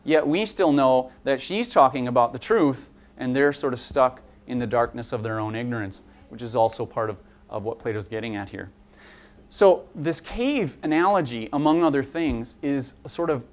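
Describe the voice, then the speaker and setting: A young male college instructor lectures in an earnest, explanatory way, moving his hands as he talks. His voice sits at an ordinary middle pitch for a man. It is captured from across a conference room. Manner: earnest, explanatory, gesturing while talking. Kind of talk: lecture to students.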